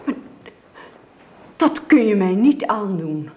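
A stern, aristocratic older grandmother in a radio play. She speaks slowly and haughtily, with a lively range and a measured, proud bearing. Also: mid-range voice. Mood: disapproving. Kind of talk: Dutch radio play acting.

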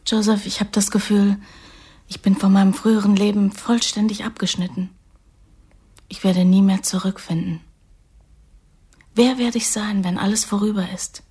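An actress plays an anguished young woman in an intimate radio play. Her voice is very breathy, often sinking toward a whisper, at a medium pitch. She sounds lost as she confides. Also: fairly even intonation, moderate pace, long pauses, warm tone, natural voice. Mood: anguished, fearful. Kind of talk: intimate radio drama acting.

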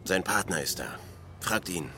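An actor playing a gruff, huge bearded motel manager in an audio drama, curt and dismissive. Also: male voice, low-pitched, expressive, normal speed, music in the background. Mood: curt, suspicious. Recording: clear ambient noise behind.

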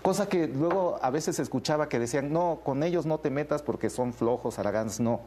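A man's voice: a little high, brisk, dark tone. A man with a Mexican accent speaking as a film director in an interview, explaining and telling anecdotes in a casual way. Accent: Mexican Spanish. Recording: faint background noise.

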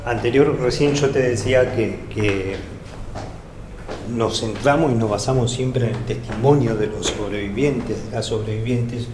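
A man's voice: mid-range voice, a little slow. A man with an Argentine accent giving a spontaneous, explanatory guided tour of a site. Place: indoors, large building stairwell while walking. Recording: echoey stairwell and halls, background noise.

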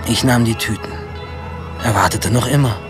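A man's voice: mid-range voice, moderate pace, close to an everyday voice. Actor as wry male narrator over music. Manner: dry, ironic. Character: wry male narrator looking at his own youth, self-important. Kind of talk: scripted first-person narration, radio drama.

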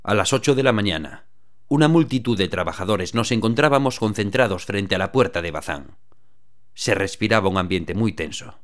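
A man narrating a radio drama in the first person, telling the story in a steady, even way. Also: fairly low pitch, moderate pace.

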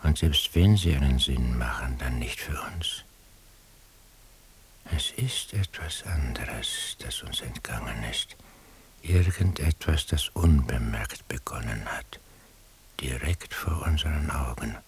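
A troubled, obsessive surveillance man ruminates in a radio drama monologue. The voice is extremely low and very husky, often half-whispered, and moves slowly from thought to thought. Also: expressive, heavily acted. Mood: uneasy searching. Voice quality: very husky, breathy. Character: obsessive, troubled male surveillance listener, doubting himself.

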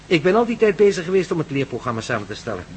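High-voiced, animated professor. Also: male voice, very fast. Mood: pensive, lecturing. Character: excitable, learned male professor, scientist. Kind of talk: Dutch radio play acting. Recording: faintly noisy radio drama recording.

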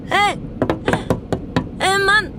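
A woman's voice: steady tone, extremely slow, full character voice. An actress playing a panicked young woman trapped in a moving car. She stammers and chokes in a German radio drama. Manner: frantic, stammering, choking. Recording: distant voice under loud car rumble. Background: loud engine rumble.

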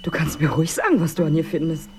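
An actress playing a bitter, sardonic wife in a radio drama, putting pointed questions with dry sarcasm. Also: female voice, sits low, fast talker, noisy background. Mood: dry, probing. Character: jilted wife, sardonic, bitter, middle-aged. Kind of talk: German radio drama acting.

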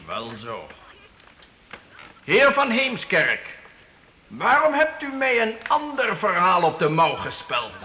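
A commanding medieval count holding court. His voice is fairly high and bright, swinging widely as he gives stately, formal judgements in a radio drama with faint background noise. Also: wildly swooping pitch, slow pace, pauses often, long reverb tail, heavily acted. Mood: stern, probing. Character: imperious but fair-minded medieval count holding court. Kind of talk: Dutch historical radio play acting. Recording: faintly noisy old radio play.

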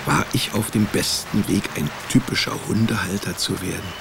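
A man's voice: low-pitched, steady tone, moderate pace, vocal fry, background noise. An actor playing a dry, laconic male private detective narrating his own case in a radio drama. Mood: wry. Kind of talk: scripted first-person radio drama narration.

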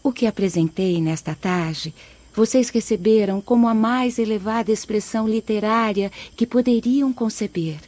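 A woman's voice: medium pitch, moderate pace, a little mellow, natural voice. A woman playing a humble female poet spirit who earnestly admonishes her listeners in a radio drama.

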